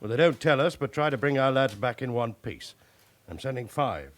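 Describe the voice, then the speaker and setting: Actor as brisk British police chief. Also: male voice, medium pitch, animated, lightly acted. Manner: brisk, matter-of-fact, instructing. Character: brisk, authoritative male senior police officer. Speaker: actor in a radio drama. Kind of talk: naturalistic British radio drama acting.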